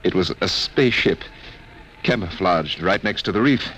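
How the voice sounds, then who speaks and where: An actor playing a grave, slow-spoken man in a 1950s radio thriller. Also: deep voice, a bit bright. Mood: dazed. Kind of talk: 1950s radio drama acting. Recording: old radio recording, faint noise.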